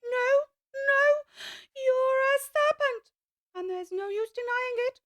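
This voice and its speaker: Super high screechy bird lady, frazzled indignant mother pigeon, storybook style, dry recording. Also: slow pace, fairly bright tone, no room sound, full character voice. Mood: outraged. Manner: shrill, fretful, accusing. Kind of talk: full-cast audiobook acting.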